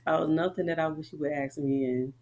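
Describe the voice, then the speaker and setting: A woman's voice: deep voice, steady tone, fairly quick, a little mellow, dull audio. Frank, rambling Southern woman. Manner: rambling, frank, laughs often. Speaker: army veteran guest. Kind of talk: candid interview chat.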